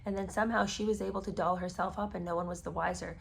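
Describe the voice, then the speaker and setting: A woman hosting a casual commentary podcast, hoarse with her voice cracking, talking in an exasperated, sarcastic tone. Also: lowish voice, fairly even intonation, fast talker, a little hiss or hum. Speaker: podcast host. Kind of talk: casual commentary reading a memoir.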